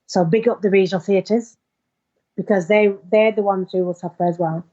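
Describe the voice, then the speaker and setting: An actress talks in an informal interview, earnest and full of emphatic enthusiasm. Her voice sits at an average pitch for a woman. The recording is slightly dull and lo-fi. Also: fairly even intonation, moderate pace, dark tone. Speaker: actor being interviewed. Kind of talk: informal interview, promoting an audio play.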